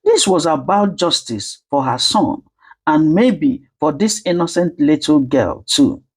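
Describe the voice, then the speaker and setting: A dramatic storyteller narrates a scripted folktale. The voice sits at a middle pitch and swings expressively up and down. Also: animated, unhurried, husky.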